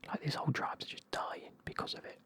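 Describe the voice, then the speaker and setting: A speaker, whispering a casual, rambling recap of a fantasy novel full of fillers. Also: fast. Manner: soft whisper, rambling, frequent fillers and swearing. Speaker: book reviewer. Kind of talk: whispered ASMR book recap.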